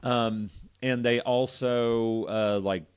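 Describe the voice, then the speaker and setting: A man's voice: medium pitch, fairly even intonation, slow pace, clean tone. A man hosting a casual podcast, reading a story aloud with irreverent, foul-mouthed joking asides. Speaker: podcast host.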